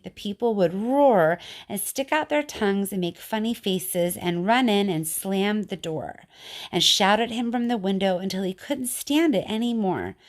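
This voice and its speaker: Middle-aged female teacher, informal and expressive, mid-pitched voice, children's poetry read-aloud with casual commentary. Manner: informal, chatty, expressive when reading. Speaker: teacher reading poems to children.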